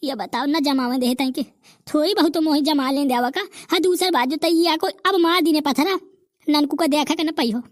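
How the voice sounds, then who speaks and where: A woman's voice: high voice, moderate pace, dry recording, studio quality, lightly acted. A woman voicing Nanku, a boastful old village grandfather, with a Bundelkhandi accent and rustic, bragging rants in a cartoon comedy. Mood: ranting.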